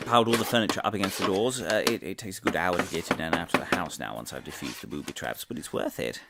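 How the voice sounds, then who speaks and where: Comic audio drama: deluded male professor, played by an actor, rather low-voiced but highly animated, theatrical, self-important and paranoid, stumbling earnestly through his monologue. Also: noisy background. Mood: earnest, rambling. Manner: earnest, stammering, pompous, theatrical.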